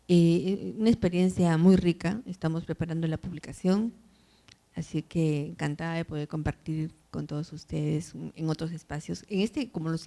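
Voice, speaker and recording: A woman's voice: low voice, dark tone. A woman answering questions on a panel, hesitant, with many fillers and tag questions. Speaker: panelist, university program organizer.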